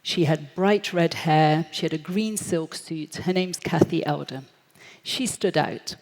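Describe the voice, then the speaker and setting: British woman in her thirties, low gravelly voice, storytelling speaker, big echoey hall. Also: unhurried. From Britain. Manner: lively storyteller, acts out quoted voices. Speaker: speaker at a forum. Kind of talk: storytelling talk. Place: large hall. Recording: echoey hall, long reverb.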